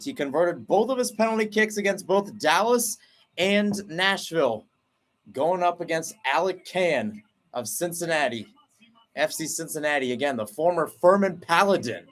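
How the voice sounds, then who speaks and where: A young man doing excited play-by-play commentary of a soccer penalty shootout, with his pitch and volume leaping at each kick. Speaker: radio play-by-play announcer. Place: at home, not at the stadium.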